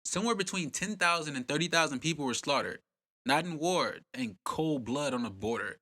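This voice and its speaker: Bright, punchy male documentary narrator. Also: fairly high pitch, animated, fairly quick. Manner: punchy, dramatic, rhetorical questions. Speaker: host and narrator.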